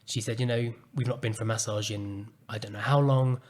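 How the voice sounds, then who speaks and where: A man hosting a solo podcast, speaking calmly and earnestly as he reflects on a personal story. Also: lowish voice, fairly quick. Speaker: podcast host and coach.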